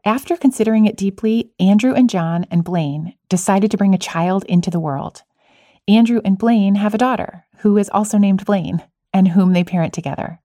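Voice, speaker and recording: Mellow, close-miked woman podcast host. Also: medium pitch, normal speed, dry recording. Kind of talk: podcast narration and conversational interviewing.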